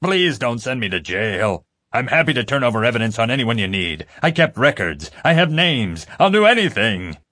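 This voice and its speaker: Audiobook prisoner, played by a male narrator: cowardly crook, gravelly, desperate, dry close sound. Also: animated, normal speed, fairly bright tone, no room sound. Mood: wailing, pleading. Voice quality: deep, gravelly. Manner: wailing, desperate pleading. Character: James, blubbering male criminal, cowardly, pleading, boastful.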